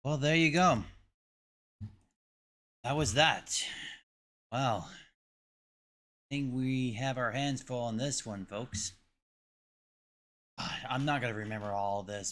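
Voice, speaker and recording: A man with a fairly low voice hosts a reaction video. He speaks in a casual, musing and informal way. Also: fairly bright tone.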